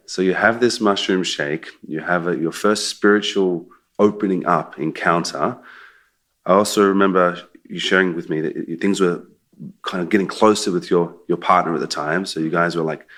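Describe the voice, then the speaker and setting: A man hosting an interview, welcoming his guest and gently prompting him with questions. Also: low voice, moderate pace. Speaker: interview host.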